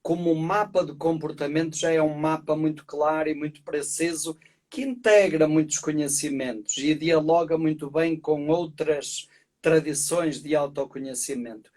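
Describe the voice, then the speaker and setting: A middle-aged man from Portugal, a priest, explaining calmly and reflectively as a guest on a live video call. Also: on the high side, moderate pace. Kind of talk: live-stream conversation.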